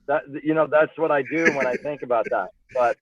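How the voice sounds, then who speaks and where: A man's voice: medium pitch, a little fast, no echo. A man speaking as an interview guest, persuasive and emphatic, firing off punchy rhetorical questions over tinny, phone-like sound. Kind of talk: persuasive pitch in casual interview.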